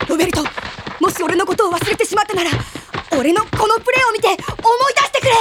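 A woman's voice: very high-pitched, expressive, fast, very noisy. A woman voicing an earnest, determined young boy soccer ace in an anime, with dramatic intensity. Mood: hurt, pleading. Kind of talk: anime voice acting.

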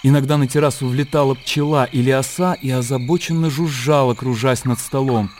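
A man reading scripted literary narration for a radio play in a measured, descriptive storytelling manner. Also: mid-range voice, steady tone, a little mellow, a little hiss or hum. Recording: clear outdoor nature ambience behind.

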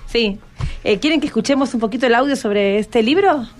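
A woman's voice: on the high side, fairly quick, soft background music. A woman with a Buenos Aires accent hosting a casual radio conversation, prompting guests with questions and checking in with short tags. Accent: Argentine, Buenos Aires. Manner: prompting, often tagging questions. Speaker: radio host. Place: radio studio. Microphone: studio microphone.

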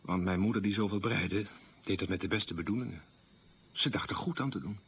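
A man playing a troubled, burdened middle-aged character, giving a measured, articulate confessional monologue for radio. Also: fairly low pitch, husky. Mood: troubled, sober. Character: troubled man burdened by his family's collaborationist past. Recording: dull, lo-fi radio recording.

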